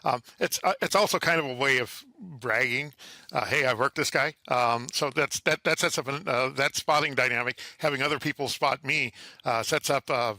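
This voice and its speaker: Bright, forceful man explaining haltingly. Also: medium pitch, no room sound. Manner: halting, frequent pauses and repeated words. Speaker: guest, amateur radio contester. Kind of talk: conversational interview answers, explaining.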